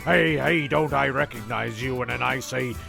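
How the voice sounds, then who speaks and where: Narrator, played by a man: mid-pitched, gravelly, rambling, self-important comedy podcast storyteller. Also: moderate pace. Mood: bemused. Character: rambling, indignant podcast narrator telling absurd anecdotes. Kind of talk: comic monologue and scripted podcast. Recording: music behind the voice.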